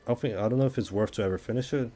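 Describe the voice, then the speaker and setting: A man's voice: medium pitch, rapid-fire, mellow, faint music behind. A man from Brazil with a Brazilian accent, chatting casually and thinking aloud in a rambling way as he streams a game. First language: Portuguese. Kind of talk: casual chat while gaming.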